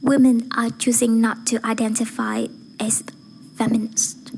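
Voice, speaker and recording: Breathy, even-toned woman reciting a speech. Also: fairly high pitch, a little slow, a little hiss or hum, low-quality recording. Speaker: amateur voice-acting student reciting a speech. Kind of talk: rehearsed formal speech recitation.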